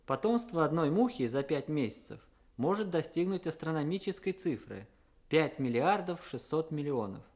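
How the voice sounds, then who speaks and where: A man giving a prepared educational talk for children as a guest expert, in a measured, explanatory manner. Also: on the high side, sing-song, a little mellow.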